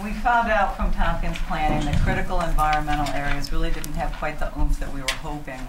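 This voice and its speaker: A woman giving halting, unscripted explanations as a board member at a public meeting. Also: deep voice, fairly even intonation. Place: community center meeting hall. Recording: echoey, noisy hall, distant microphone.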